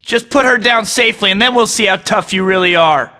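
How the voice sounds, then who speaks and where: A man's voice: high-pitched, a little fast, pushed and bright, long reverb tail. An actor voicing a young male superhero who tosses out sarcastic taunts in a video game. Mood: angry. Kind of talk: game voice clips.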